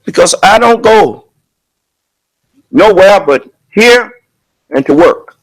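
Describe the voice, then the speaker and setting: A man with a raised, high-pitched voice preaches a sermon to his congregation. He speaks loud and emphatic and keeps firing rhetorical questions at his listeners. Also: expressive, slow pace, poor audio. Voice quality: loud, booming voice. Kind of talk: sermon at a prayer service.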